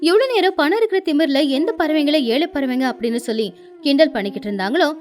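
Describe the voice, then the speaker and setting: A woman narrating a scripted children's story as an expressive storyteller. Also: high voice, fast talker, soft background music.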